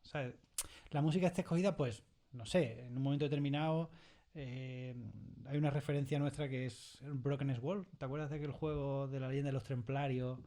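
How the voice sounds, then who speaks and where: A man with a Castilian Spanish accent speaks as an interviewed creator in a studio. He explains things in an animated, conversational way, often repeating words for emphasis and tagging his phrases with short questions. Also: medium pitch, fairly quick. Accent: Spain. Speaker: audio fiction creator being interviewed. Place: recording studio.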